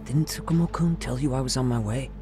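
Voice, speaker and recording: A man's voice: medium pitch, fast, over music, natural voice. A man playing an alert young sidekick with informal, urgent delivery in a video game dub. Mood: alert, wry. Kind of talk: dramatic video game voice acting.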